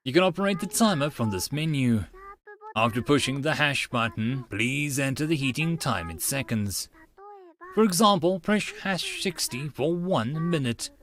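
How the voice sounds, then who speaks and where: A man's voice: medium pitch, lively intonation, normal speed, a bit bright, natural voice. A man voicing a cheerful young anime girl giving recorded phone instructions in a visual novel, in a sing-song way. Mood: cheerful, instructional.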